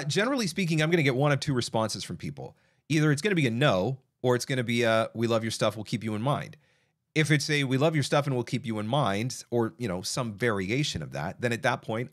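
Guy in his 30s doing a live q&a from his home studio, lowish voice, chatty, lots of uh's and restarts, close mic. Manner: conversational, answers chat questions with frequent fillers and restarts. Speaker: voiceover coach hosting a live Q&A. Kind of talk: casual advice livestream. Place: small home studio, indoors. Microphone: broadcast mic on arm, close.

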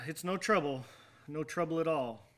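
A man acting a haunted, sleepless, volatile gentleman in a radio drama, theatrical and agitated with old-fashioned diction. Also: on the high side, measured pace. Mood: nervous.

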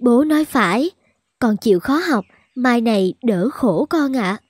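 A woman's voice: fairly high pitch, lively intonation, a little slow, darkish tone, slightly rough, no echo, clean studio sound. A woman voicing a devoted, uncomplaining poor peasant wife and mother in a folk-tale cartoon. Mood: caring. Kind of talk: cartoon dubbing.

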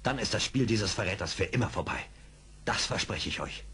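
An actor playing a resolute frontier scout hero in an old radio play. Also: male voice, lowish voice, expressive, brisk, a bit bright, breathy edge, heavily acted. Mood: firm, reassuring. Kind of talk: 1970s German radio play acting. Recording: noisy, humming old radio play recording.

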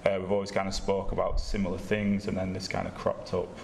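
Man, low gravelly voice, informal panel talk, echoey gallery. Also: monotone, a little fast. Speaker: photographer on a discussion panel. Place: large gallery room with seated audience. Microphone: distant camera microphone. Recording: echoey noisy room from far off.

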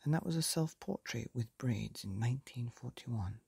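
A man hosting a bedtime story podcast, reading aloud in a soft, breathy half-whisper that lilts gently as he goes. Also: mid-range voice, expressive, no room sound. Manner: slow, gentle and lilting.

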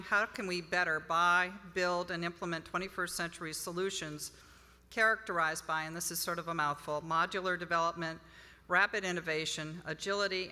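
Low, bright woman in a hall. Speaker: institute director, conference speaker. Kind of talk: informal conference presentation. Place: large hotel ballroom, on stage. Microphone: podium microphone. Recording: reverberant hall PA sound.

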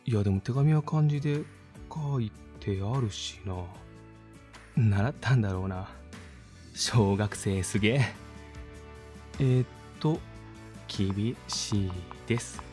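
A man's voice: lowish voice, soft background music. A young man playing a laid-back, sincere university student in a voice drama monologue, musing as he writes. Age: young adult. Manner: unhurried, musing, mellow. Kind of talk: voice drama monologue, thinking aloud while writing.

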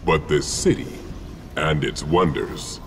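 Game villain: menacing Titan, bottomless gravel voice, deliberate, weighty pauses, score underneath. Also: male voice, a bass voice, background noise, full character voice. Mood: stern, bargaining. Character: huge, menacing alien Titan warlord. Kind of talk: dramatic video game villain acting. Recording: dramatic score clearly behind.

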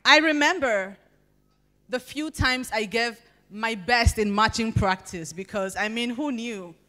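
Young Nigerian woman reciting spoken word. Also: a little high. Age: young adult. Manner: measured, expressive recitation with deliberate pauses. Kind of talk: spoken word poetry performance. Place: large banquet hall at a podium. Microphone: handheld microphone.

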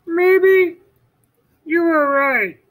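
A man voicing an anxious, eager male toad, high and pleading, in a storybook read-aloud. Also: extremely slow, fairly bright tone, heavily acted.

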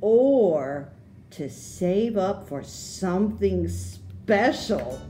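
A woman reading a children's storybook aloud, with expressive, deliberate phrasing for young listeners. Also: mid-range voice, faint music behind.

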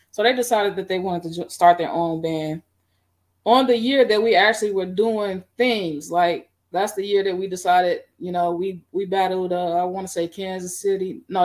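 A middle-aged woman on a video call, recounting her band history in a relaxed, rambling way with many fillers. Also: lowish voice. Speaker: guest band musician. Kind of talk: casual interview. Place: home room.

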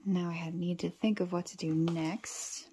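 A woman with a soft, breathy voice, murmuring and thinking aloud through a casual craft demonstration. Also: lowish voice, little pitch movement, normal speed, background noise. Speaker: journaling vlogger.

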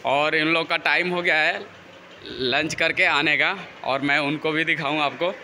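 A man's voice: fairly high pitch, steady tone, unhurried, sharp tone, a little hiss or hum. An Indian man giving casual, repetitive walk-through commentary as a vlogger, recorded on a handheld phone in a workshop. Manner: informal, repetitive narration. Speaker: vlogger showing around a factory. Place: large workshop and its yard.

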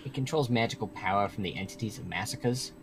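A man's voice: fairly low pitch, fast talker, vocal fry, music in the background, poor audio. A man playing a long-lived, serious supernatural guide who explains things matter-of-factly in a video game roleplay. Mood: serious, explaining. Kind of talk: improvised Minecraft roleplay.

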